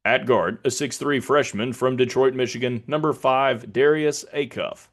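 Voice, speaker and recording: Man acting as basketball PA announcer, American, controlled, formal, clipped calls, medium-pitched sports delivery. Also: moderate pace, a bit bright, close to an everyday voice. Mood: neutral, even. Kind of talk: public address sports announcing demonstration.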